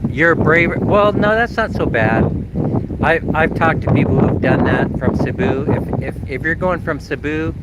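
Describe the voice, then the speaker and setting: A man with a slight cold talks in a loose, joking way to his live-stream audience, his voice a little nasal. He holds the phone at arm's length on an open ferry deck. Heavy wind and the drone of the ship's engine sit loudly under his voice. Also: on the high side, a little slow. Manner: chatty, self-mocking, with frequent restarts. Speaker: vlogger hosting a live stream. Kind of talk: casual live-stream chat. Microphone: phone held at arm's length. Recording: loud wind and boat noise. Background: wind and ship engine.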